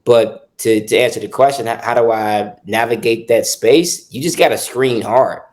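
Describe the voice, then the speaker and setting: A man from the South Side of Chicago, a real estate investor talking as a podcast guest in a measured way, often repeating words. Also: medium pitch, darkish tone. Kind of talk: casual podcast interview.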